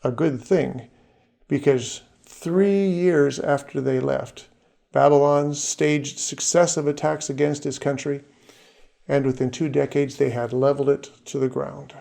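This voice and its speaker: A man giving a scripted narration as the presenter of his own series, in a measured, steady way as he works through names and facts. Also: a little high, gravelly.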